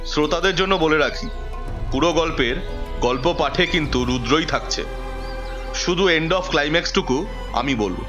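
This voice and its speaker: A man's voice: fairly high pitch, unhurried, long pauses, lightly acted. A man acting as a confident occult expert in an audio drama, explaining things like a storyteller. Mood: explanatory. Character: confident male occult expert recounting a battle with a djinn. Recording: muffled audio under prominent music.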